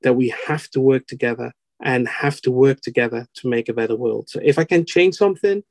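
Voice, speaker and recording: A man's voice: medium pitch, a little fast, darkish tone, no echo. A Dutch man whose first language is Dutch, answering interview questions as a podcast guest, hesitant with many fillers and restarts. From Netherlands.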